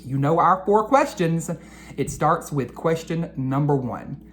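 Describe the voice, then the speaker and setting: A woman with a low voice speaks slowly and earnestly, as an educator addressing children at a read-aloud. Her delivery is deliberate and reflective. There is some background noise in the recording. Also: expressive.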